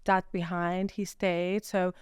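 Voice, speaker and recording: Chatty young woman, very smooth voice. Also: on the low side, level tone, slow, no echo, clean studio sound. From Iraq. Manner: chatty, frequent fillers and "do you know what I mean". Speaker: podcast guest. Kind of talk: casual interview chat.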